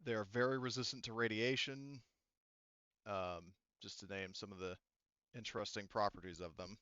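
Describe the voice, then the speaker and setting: Crisp, bright-voiced man running a game. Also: on the low side, steady tone, normal speed, dry recording, muffled recording. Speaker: game master. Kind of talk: casual tabletop game narration.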